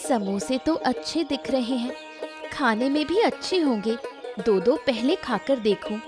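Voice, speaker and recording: A woman's voice: high voice, animated, a little slow, music in the background. A woman voicing an earnest, sympathetic village neighbour in a Hindi moral-story cartoon. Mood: wary. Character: sympathetic village neighbour, wary then supportive.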